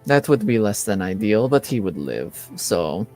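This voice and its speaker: A man playing a composed, formal informant in a tabletop roleplaying game, speaking in measured phrases with hesitant pauses. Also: lowish voice, big pitch swings, darkish tone, faint music behind. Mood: cool, measured. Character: formal, composed informant with cool professional detachment. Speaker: game master.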